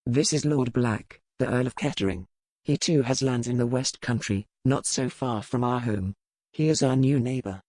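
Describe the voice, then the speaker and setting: Man acting a courteous, self-assured Regency gentleman. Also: medium pitch, moderate pace, no echo, close to an everyday voice. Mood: courteous, breezy. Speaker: audiobook character reader. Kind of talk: scripted dialogue in a historical romance audiobook.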